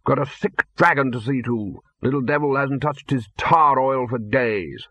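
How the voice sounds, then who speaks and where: A man reading an audiobook voices a gruff, blunt old male wizard chief who barks short phrases. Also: mid-range voice, moderate pace, bright, forceful tone, dry recording. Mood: blustering. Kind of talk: audiobook character voice.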